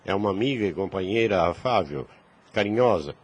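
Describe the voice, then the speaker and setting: A man's voice: deep voice, lively intonation, a little fast, breathy edge. A man playing a concerned, kindly priest in an audio drama, speaking formally and solemnly. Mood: praising, concerned. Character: concerned, kindly old-fashioned priest and family counselor.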